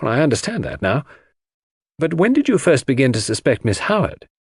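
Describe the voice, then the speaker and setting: A man's voice: medium pitch, big pitch swings, brisk, breathy edge, no echo. A man voicing a detective's astonished male friend in an audiobook, asking eager, incredulous questions. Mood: puzzled. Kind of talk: audiobook character dialogue.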